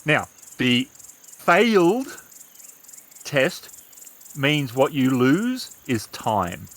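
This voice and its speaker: A man working as game master, describing scenes in a measured way with pauses during a tabletop roleplaying game. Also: mid-range voice, wildly swooping pitch, a bit bright, no room sound.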